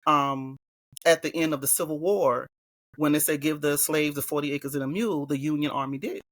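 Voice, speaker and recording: A woman from Hilton Head Island with a Gullah Geechee accent, a guest educator talking in a relaxed interview and telling stories from home. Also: deep voice, fairly quick, no echo. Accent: Gullah Geechee, South Carolina Lowcountry. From Hilton Head Island, South Carolina. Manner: relaxed storytelling, quoting others in lively voices. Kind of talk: casual interview conversation.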